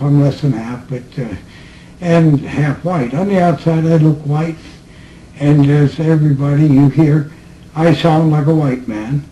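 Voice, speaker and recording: A man speaks to a small group in a room, slow and reflective, often laughing between his remarks. His voice sits a little high. The old tape carries a faint hiss. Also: dark tone, poor audio. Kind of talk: recorded group conversation.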